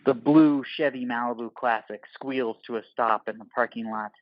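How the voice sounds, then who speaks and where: A man reads scripted audio drama narration in terse, clipped phrases. His pitch is medium, and the recording is dry and slightly dull. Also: steady tone, normal speed, no echo.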